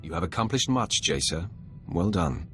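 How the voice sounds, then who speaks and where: An actor playing a stern Sith master in a video game, speaking with measured, formal authority. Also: male voice, sits low, sing-song, a bit bright, faint music behind. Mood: solemn approval. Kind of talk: dramatic video game voice acting.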